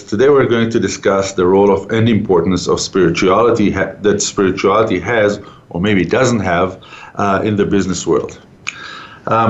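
A man hosting a conversational radio interview in a halting, informal way. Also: lowish voice, highs cut off. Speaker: talk show host.

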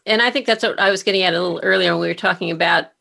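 A woman hosting a podcast interview in a conversational way, pausing often as she puts her questions. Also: lowish voice, vocal fry, sharp tone.

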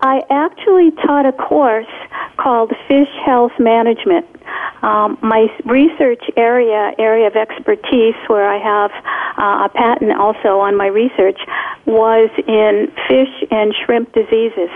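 A retired woman professor, Polish by first language, calls in to a radio show. She explains her research in a measured, lecturing way, her voice a little high. Everything comes through a thin, tinny phone line. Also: steady tone. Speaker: guest, retired microbiology professor. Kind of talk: radio interview answers.

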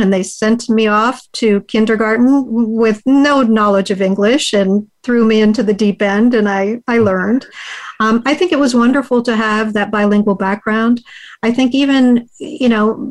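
A woman's voice: on the high side, poor audio. Earnest woman guest on a video call. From United States. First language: Hungarian. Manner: earnest, measured, uses frequent fillers. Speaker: podcast guest, investor and author. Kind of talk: reflective interview answers.

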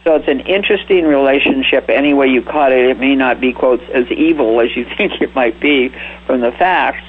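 Male talk radio host, husky and rambling, heard over thin phone-like audio. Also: medium pitch, normal speed. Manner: rambling, speculative, asks guests for comments. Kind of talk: casual talk radio discussion.

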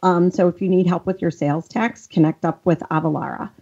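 A woman's voice: lowish voice, steady tone, fairly quick, a little mellow, muffled recording. A woman from Chicago with a Chicago accent, co-hosting an interview and asking long, friendly questions. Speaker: podcast co-host.

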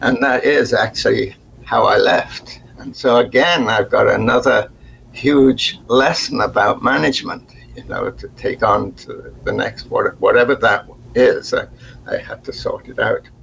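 An older man from England with a faint Liverpool accent, a radio interview guest who talks slowly and haltingly with many restarts. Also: medium pitch, vocal fry, fairly bright tone, a little hiss or hum, rough recording. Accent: faint Liverpool, mostly softened. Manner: slow and halting, with restarts and fillers. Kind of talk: reflective interview talk.

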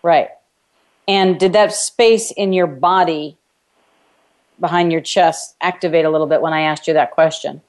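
A woman's voice: sits low, fairly even intonation, normal speed, darkish tone. A woman hosting a radio call-in show, coaching a caller in an encouraging, affirming way with probing questions. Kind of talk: live coaching conversation with a caller.

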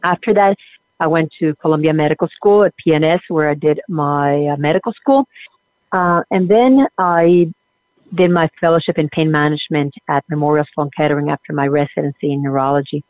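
A woman neurologist who grew up in Puerto Rico, a guest on a health show, telling her career story with frequent "uh" pauses. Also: low voice, no room sound. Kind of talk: interview storytelling. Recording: tinny phone line.

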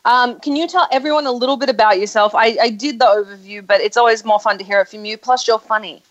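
A woman's voice: on the high side, quick pace, muffled recording. A woman with an Australian accent hosting a radio talk show, chatty and upbeat, laughing easily. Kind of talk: casual radio chat.

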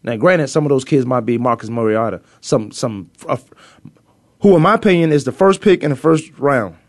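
A man with a Virginia accent hosting a casual sports talk show, arguing his points emphatically and repeating himself when excited. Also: mid-range voice, normal speed, dry recording, clean studio sound.

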